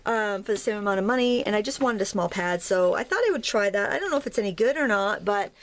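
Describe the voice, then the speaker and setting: A hoarse woman in her thirties, chatting casually and rambling a little as she shows off craft supplies in her home studio. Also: mid-range voice, expressive, fast. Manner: chatty, rambling, friendly asides. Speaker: craft video host. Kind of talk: casual haul chat.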